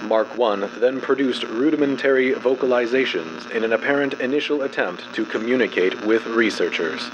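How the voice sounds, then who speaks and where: A man giving a formal, measured, scripted dramatic narration of a clinical report. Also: medium pitch, dull audio, background noise.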